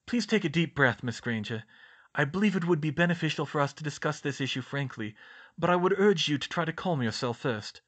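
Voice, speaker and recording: A gentle, wise old headmaster wizard, as a male reader puts him on who speaks in calm, formal sentences. The voice stays bright and a little raised, with a lively lilt. This is a storybook reading. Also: a little high, brisk, husky.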